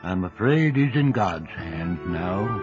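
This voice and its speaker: A sombre doctor, low voice; lo-fi with faint music. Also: male voice, expressive, slow. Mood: grave. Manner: sombre and gentle. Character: grave male country doctor. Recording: dull lo-fi audio with faint music.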